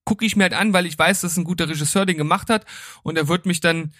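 A man's voice: high voice, steady tone, fast, fairly bright tone, no room sound, clean studio sound. A man hosting a casual film chat, easygoing and hesitant with fillers. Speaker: host.

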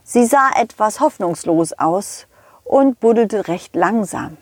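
A woman's voice: mid-range voice, expressive, slow pace, husky, dry recording, heavily acted. A woman voicing a friendly, eager dog who tells its own adventure to children, repeating words for emphasis. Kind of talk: first-person children's storytelling.

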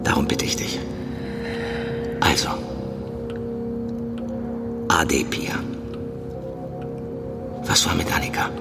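An actor playing a middle-aged undercover police handler in an audio drama, speaking in measured, deliberate phrases as a controlled, probing mentor. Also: male voice, rumbling low, steady tone, vocal fry, loud music behind the voice, exaggerated voice. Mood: quietly insistent. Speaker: actor in fiction podcast. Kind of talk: naturalistic German audio drama acting.

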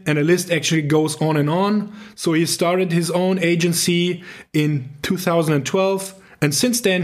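German-accented man hosting a podcast. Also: on the high side. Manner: earnest, halting, many fillers. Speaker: podcast host. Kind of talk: podcast interview.

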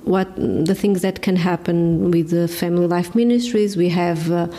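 A woman's voice: on the low side, steady tone, normal speed, dark tone. A Portuguese woman in her forties, speaking English as a second language with a Portuguese accent, answering interview questions hesitantly, with many fillers and restarts. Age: 40s. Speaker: ministry director, guest. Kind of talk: casual interview chat.

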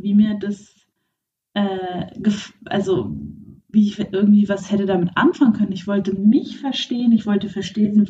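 A woman's voice: mid-range voice, warm tone. Candid woman podcaster, muffled and distant. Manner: candid, reflective, frequent fillers and restarts. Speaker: podcast host. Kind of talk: personal podcast monologue. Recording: muffled, slightly distant mic with faint noise.

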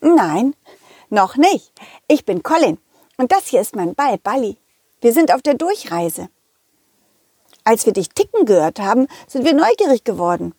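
A woman voicing a cheerful, excitable, loyal dog who tells stories to children in a playful, chatty way. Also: fairly high pitch, measured pace, pauses often, slightly rough, no echo, studio quality, heavily acted. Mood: friendly, curious. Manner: playful, chatty, giggly, addressing children directly. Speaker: puppet character host. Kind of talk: children's audio story narration.

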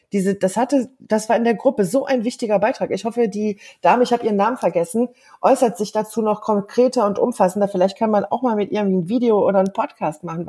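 A woman in her fifties, a native German speaker, talking earnestly and thoughtfully in a casual conversation, often pausing with fillers. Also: medium pitch, dark tone, husky. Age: 50s. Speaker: guest.

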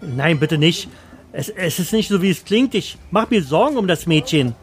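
A man's voice: a little high, animated, normal speed, faint music behind, voice pushed up, close to an everyday voice. A man playing a dull, anxious bookkeeper in an audio story, earnest and insistent with a hesitant delivery. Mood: flustered, pleading. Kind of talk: first-person audio story.

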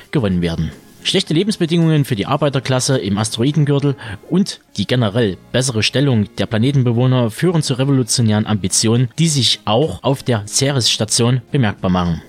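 A man hosting a podcast, reading scripted series news in a wordy, tongue-in-cheek way. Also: medium pitch. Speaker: podcast host. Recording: music bed under the voice. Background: music.

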